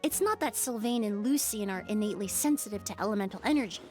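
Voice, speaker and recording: A woman voicing a sharp young investigator in a video game, calmly laying out a deduction step by step. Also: mid-range voice, quick pace, music in the background, lightly acted. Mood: explaining.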